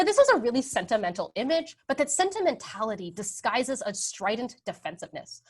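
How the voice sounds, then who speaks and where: A woman in her thirties giving an academic history lecture over slides, relaxed and conversational, often checking in with "right?". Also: medium pitch. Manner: conversational, frequent "um" and "right?" tags.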